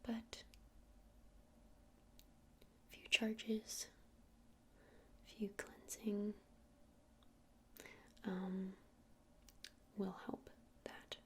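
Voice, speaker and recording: A woman playing a gentle crystal-shop witch in an ASMR roleplay, whispering breathily and explaining things unhurriedly with hesitant pauses. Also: medium pitch, flat delivery. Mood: soothing, earnest. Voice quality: breathy whisper with creaky edges.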